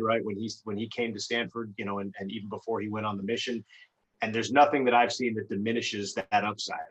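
A man's voice: on the low side, a bit bright, poor audio. An American man who works as a radio sports announcer, giving conversational analysis in an emphatic, discursive way, thinking aloud as he goes.

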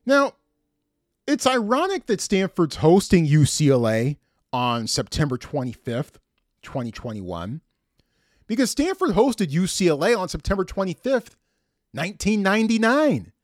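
Animated man hosting a sports podcast. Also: high-pitched, a little slow, dry recording, clean studio sound. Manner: enthusiastic, emphatic storytelling. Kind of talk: conversational sports commentary and storytelling.

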